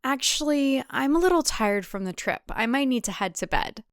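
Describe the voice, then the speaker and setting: Woman playing a wry, skeptical city visitor, clear-voiced. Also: sits high, animated, normal speed, dry recording, studio quality, pitched above the performer's own voice. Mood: skeptical, tired.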